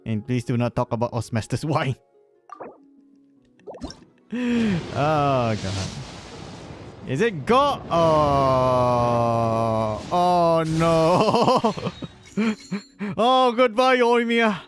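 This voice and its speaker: A man's voice: a little high, expressive, very slow, pauses often, over music. A man doing casual livestream commentary over a game, rambling and repeating himself, often chuckling between phrases. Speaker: live streamer.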